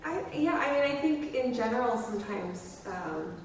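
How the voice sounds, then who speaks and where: A woman psychologist explains her views on a convention panel, thoughtful and analytical, pausing on fillers. Her pitch is mid-range. She sounds far from the mic in a heavily echoing room with a faint hum behind. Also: lively intonation. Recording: echoey room mic from afar.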